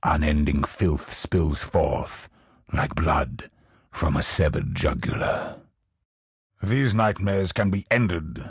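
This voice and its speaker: An actor voicing a brooding, grandiose godlike villain in a video game, husky and gravelly, with an ominous, deliberate delivery. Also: male voice, a bass voice, no room sound, clean studio sound, heavily acted. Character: godlike male cosmic villain, brooding, grandiose, obsessed with perfection. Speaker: video game boss character.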